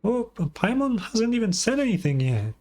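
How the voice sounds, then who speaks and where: A woman voicing a small, excitable, blunt fairy companion girl in a video game, squeaky and childlike, with music behind her. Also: moderate pace. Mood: flustered, curious. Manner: brash, exclamatory, speaks of herself in third person.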